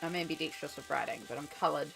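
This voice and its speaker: An Australian woman with a cold, her voice lowered and coughing, chatting casually and rambling with many ums while she colours on a stream. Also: fast, vocal fry, a bit bright, poor audio. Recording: faint music behind.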